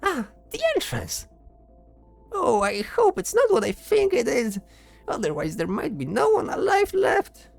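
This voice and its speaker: A woman's voice: fairly high pitch, wildly swooping pitch, a little slow, vocal fry, a little mellow, faint music behind, exaggerated voice. A woman voicing a distressed magical creature in a fantasy audio drama, pleading and stammering. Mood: worried. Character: distressed magical flying creature with jaws and flame.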